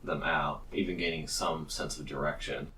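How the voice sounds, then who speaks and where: A man's voice: extremely low pitch, fairly even intonation, moderate pace, sharp tone, echoey room. A man runs a tabletop game in a casual, conversational style. He sounds set back from the microphone, and there is light noise in the background. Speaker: game master. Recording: distant mic, slight background noise.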